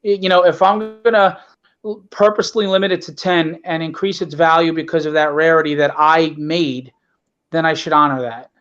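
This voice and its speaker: A man with a notably high voice talks casually as a guest promoting his own work. He is emphatic and repeats short phrases. The sound is somewhat dull and lo-fi, like a call. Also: steady tone, moderate pace, a bit bright, clean tone. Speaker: comic book creator, guest.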